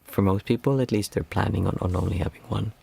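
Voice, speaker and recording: Hoarse, raspy low-voiced man. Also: slight background noise. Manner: calm, unhurried counting of breaths. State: hoarse, losing his voice. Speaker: meditation teacher. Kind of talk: guiding a group meditation.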